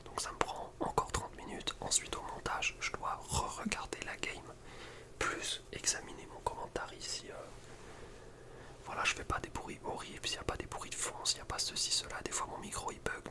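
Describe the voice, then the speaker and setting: A young man whispers softly and breathily in an informal, rambling ASMR chat. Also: fairly quick. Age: young adult. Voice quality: soft, breathy unvoiced whisper. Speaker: video creator. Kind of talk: whispered ASMR chat about personal routine.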